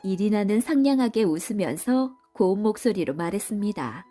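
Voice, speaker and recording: A woman's voice: medium pitch, lively intonation, moderate pace, mellow, soft background music. A woman storyteller giving scripted storybook narration for children.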